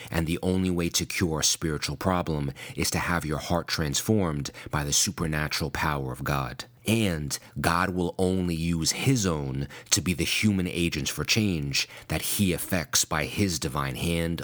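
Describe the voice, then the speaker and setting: Very deep-voiced man preaching. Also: normal speed, hardly any pauses, a bit bright. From United States (New York). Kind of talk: scripted sermon.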